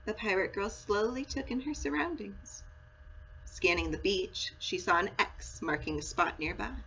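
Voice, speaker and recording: A woman reading a children's storybook aloud in an expressive, dramatic and deliberate way. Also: medium pitch, creaky, husky. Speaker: story reader, school read-aloud. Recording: dull lo-fi sound with faint noise.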